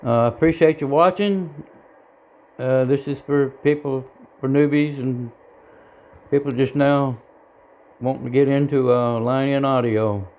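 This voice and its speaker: A man giving an informal hands-on tutorial in a halting, plain-spoken, self-deprecating way, recorded indoors on a handheld device. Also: medium pitch, steady tone, phone-quality sound. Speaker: hobbyist video tutorial presenter. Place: indoors at a home radio bench. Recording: clear background noise, room ambience.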